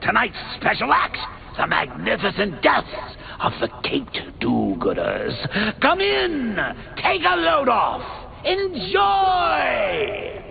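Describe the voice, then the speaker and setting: A man's voice: very high voice, wildly swooping pitch, slow, gravelly, narrow, tinny audio, full character voice. A man voicing a manic, mocking male clown villain in a video game, cackling and swinging his delivery wildly. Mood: taunting. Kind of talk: game voice lines.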